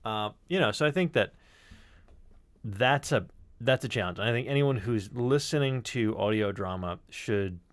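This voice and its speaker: A man with a fairly low voice chats casually as a sound designer. He is an animated storyteller who swears freely, and the sound is close and dry. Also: normal speed, no echo.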